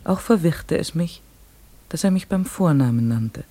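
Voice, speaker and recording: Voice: medium pitch, animated, a little slow, warm tone. An actor playing an earnest, devoted woman in a radio play, formal and literary in speech and narration. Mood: reflective.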